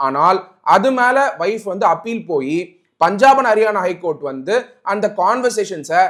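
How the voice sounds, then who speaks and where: A man's voice: sits high, lively intonation, moderate pace, a bit bright. A man with a Tamil accent giving an explanatory legal talk, didactic, restating key points as he goes. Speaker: advocate presenting a legal explainer.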